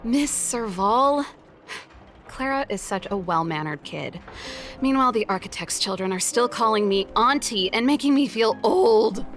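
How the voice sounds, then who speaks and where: A woman's voice: a little high, lively intonation, a little slow, fairly bright tone, soft background music. A woman voicing a cool, friendly young rock musician in a video game, breezy and teasing.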